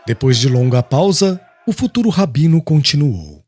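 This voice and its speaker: Male narrator, slightly high pitch, husky, animated, solemn dramatic storytelling. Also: moderate pace, soft background music. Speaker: audiobook narrator.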